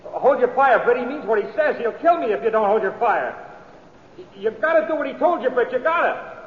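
An actor playing a frightened cowboy hostage in an old radio western, stammering and pleading. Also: male voice, extremely high pitch, lively intonation, brisk. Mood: scared, pleading. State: scared. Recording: echoey cave, tinny vintage radio, faint noise.

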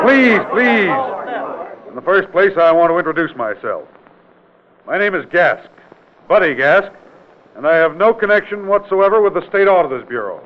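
An actor playing a brisk, authoritative state investigator with a formal, commanding manner in an old radio drama. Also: male voice, on the high side, natural voice. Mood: firm, authoritative. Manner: formal, commanding interrogation with clipped questions. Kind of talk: 1940s-50s radio drama acting. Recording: tinny, noisy old radio recording.

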